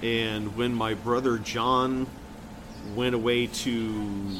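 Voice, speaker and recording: Casting: middle-aged American male co-host, casual reminiscing, ordinary mid pitch, clearly noisy background. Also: little pitch movement, slow. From Kansas City, United States. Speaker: podcast co-host.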